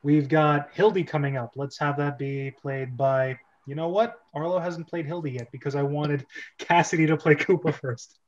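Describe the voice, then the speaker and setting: A man's voice: on the high side, steady tone, moderate pace, lo-fi sound. A man hosting a casual group script reading, chatty and joking, breaking his narration with asides. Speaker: host and script reader. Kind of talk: casual group chat and stage-direction reading.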